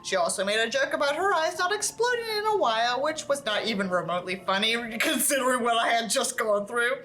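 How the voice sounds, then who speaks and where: A woman's voice: on the high side, expressive, a little fast, sharp tone. A woman reading a book aloud theatrically, with sarcastic, exaggerated delivery and dry asides. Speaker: host reading a book aloud with mocking commentary.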